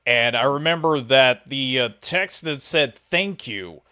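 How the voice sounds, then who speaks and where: Guy interviewer chatting casually, really bright punchy voice, medium pitch. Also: measured pace. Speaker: interviewer, host.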